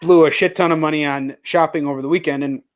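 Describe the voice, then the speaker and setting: Guy hosting a live chat show, voice a bit high, loose slangy talk. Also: steady tone, fast talker. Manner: informal, slangy, peppered with "like" and "you know". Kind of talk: casual video chat interview.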